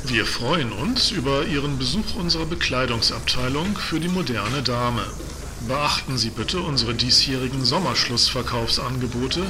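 Actor as formal deadpan store announcer, noisy background. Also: male voice, medium pitch, lightly acted. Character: polite, formal male department store announcer giving solemn advisories. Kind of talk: scripted announcement in audio drama. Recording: clear background noise and ambience.

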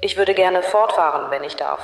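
An actress voicing a meddling, uncanny station loudspeaker in a dream, formal yet knowing and teasing, like a mock public announcement. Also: female voice, lowish voice, normal speed, gravelly, soft background music. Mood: matter-of-fact. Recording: echoey, distant public-address sound.

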